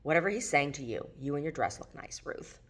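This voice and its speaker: A woman's voice: sits low, animated, a little fast. A woman reading the lines of a friendly, familiar diner server woman in a novel. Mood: welcoming. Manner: casual, welcoming. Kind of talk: acted dialogue in a novel reading.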